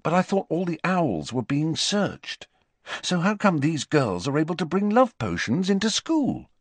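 A male narrator acts a teenage schoolboy in a storybook, defensive and stubbornly curious. The delivery is casual and insistent with a grumbling streak, moving widely in pitch. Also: sing-song, unhurried, breathy edge, no echo, heavily acted. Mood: puzzled.

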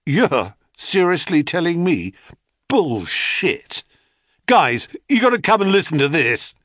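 A man's voice: on the high side, sing-song, slow, fairly bright tone, no room sound, clean studio sound, voice pushed up, exaggerated voice. A man voicing a condescending rich schoolboy who drawls smugly. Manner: drawling, smug.

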